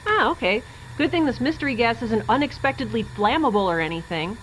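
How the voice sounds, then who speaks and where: A woman's voice: a little high, lively intonation, brisk, noisy background, close to an everyday voice. A woman playing a harried young space scientist in a sci-fi audio drama, speaking informally with wry, hesitant urgency. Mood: wry, anxious.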